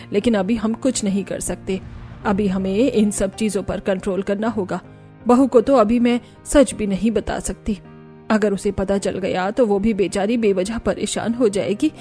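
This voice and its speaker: A woman's voice: medium pitch, warm tone, voice pushed down, close to an everyday voice. A woman voicing a caring, middle-aged mother-in-law in a Hindi family cartoon. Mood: worried, resigned.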